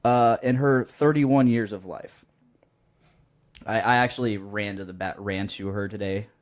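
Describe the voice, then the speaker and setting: A man's voice: lowish voice, fairly even intonation, a little slow. A man in his twenties co-hosting a podcast, chatting casually and telling stories in a joking, sweary way. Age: 20s. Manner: joking, profane. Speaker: podcast co-host. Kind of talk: casual chat and storytelling.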